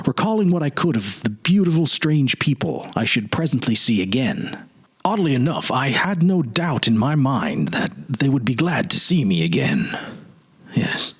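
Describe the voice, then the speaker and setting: Man playing a wistful gentleman, animated and musing. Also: mid-range voice, breathy edge, heavily acted. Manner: hesitant, musing, reminiscing. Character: wistful middle-aged gentleman confiding a childhood memory. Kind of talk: dramatic storybook reading.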